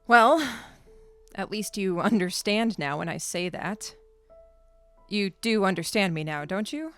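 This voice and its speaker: A woman playing a stranded alien creature in an audio drama, stoic and reflective, with a deliberate, solemn way of telling things. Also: mid-range voice, expressive, clean tone, faint music behind. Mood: wary, frank.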